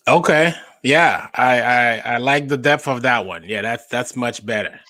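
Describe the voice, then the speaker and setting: A young adult man from Haiti, a stand-up comedian telling his story in a casual interview, with animated emphasis. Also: medium pitch, unhurried, dry recording.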